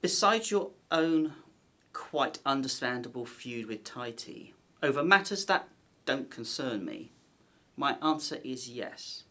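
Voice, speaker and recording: A man's voice: medium pitch, animated, takes it slowly, bright, forceful tone, exaggerated voice. A man playing a nervous, wary palace conspirator of ancient Egypt in an audio drama, speaking formally and theatrically. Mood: grave, conspiratorial.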